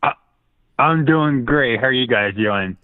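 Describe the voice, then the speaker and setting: A man's voice: medium pitch, expressive, a little slow, no room sound. Guy calling into a show, casual, tinny phone audio, no room sound. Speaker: regular caller to the show. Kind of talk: casual phone call-in chat. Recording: dry, narrow phone line.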